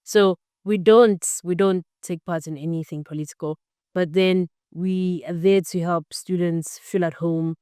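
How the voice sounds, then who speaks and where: A woman's voice: lowish voice, fairly even intonation, unhurried, a little mellow, smooth, no echo, studio quality. A young woman in her twenties from Zambia, answering interview questions in an earnest, explanatory way with frequent "um" and "basically". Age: 20s. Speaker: podcast guest, computer science student.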